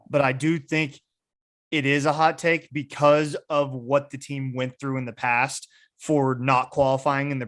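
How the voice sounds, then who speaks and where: A man's voice: mid-range voice, fairly bright tone. A young man from the United States hosting a podcast in casual sports chat. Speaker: podcast host.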